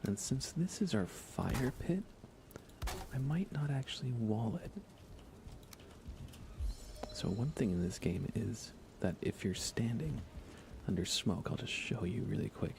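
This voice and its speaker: A soft-spoken man chatting casually in an ASMR game livestream, often slipping into a whisper as he rambles along unhurriedly. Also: mid-range voice, animated, measured pace, long pauses, background noise. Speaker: live streamer. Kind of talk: soft ASMR gaming stream, casual chat with viewers.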